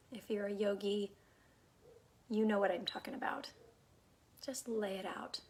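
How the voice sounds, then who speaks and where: A woman leading a guided meditation in a calm, unhurried, soothing way, her soft phrases trailing off into breathiness. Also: a little high, creaky. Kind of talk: guided meditation, gentle instruction.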